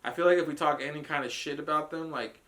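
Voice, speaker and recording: A man's voice: a little high, quick pace, pushed and bright. A man co-hosting a casual reaction podcast, blunt and profane, ranting with frequent laughs. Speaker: podcast co-host reviewing a trailer. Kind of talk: casual group reaction chat.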